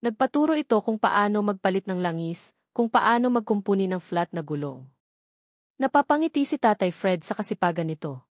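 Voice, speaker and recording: A woman's voice: medium pitch, very animated, fairly quick, no room sound, studio quality. A woman reading a scripted romance story as its narrator.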